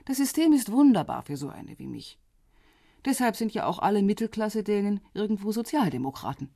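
An actress playing a self-assured, flirtatious middle-aged policewoman with gentle irony in a radio drama. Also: mid-range voice, big pitch swings, brisk, no echo, clean studio sound. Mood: ironic, assured.

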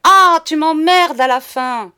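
A woman's voice: high-pitched, slow pace, sharp tone, pitched above the performer's own voice, exaggerated voice. A woman voicing a furious, foul-mouthed bourgeois lady in a story, explosive and full of contempt. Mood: exasperated, shrill. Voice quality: hoarse, harsh shriek.

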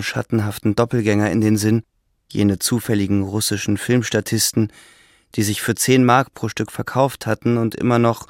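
Radio drama narrator: male, lower-pitched, literary scripted delivery, close dry studio sound. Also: gravelly, no echo.

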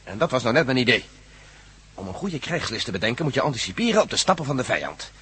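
An actor playing a shrewd, assertive strategist in a radio drama, husky and emphatic as he reasons aloud. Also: medium pitch, sing-song, fast, fairly bright tone, slight background noise. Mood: scheming. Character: shrewd, assertive strategist plotting against an enemy.

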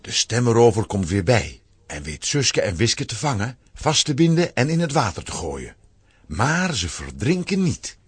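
A man with a Flemish accent and a husky voice, narrating a children's audio drama in an expressive storytelling style. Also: medium pitch, moderate pace, dry recording.

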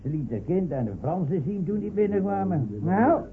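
An actor in a radio play playing an earnest village man, husky, hesitant and given to repeating himself. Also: high-pitched, lively intonation, normal speed, a little hiss or hum. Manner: hesitant, repetitive. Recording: tinny, narrow old radio recording.

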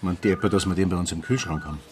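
Man playing a lowish-voiced Bavarian small-town policeman. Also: racing, noisy background, natural voice. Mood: matter-of-fact. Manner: matter-of-fact, colloquial. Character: male small-town policeman, down-to-earth and placating. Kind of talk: naturalistic radio drama dialogue.

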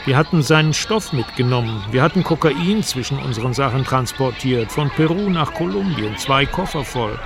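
A man acting as a wary male traveler telling of his jungle river journey. He narrates in vivid, literary phrases at a medium pitch, with music playing clearly underneath, as in a radio drama. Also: close to an everyday voice.

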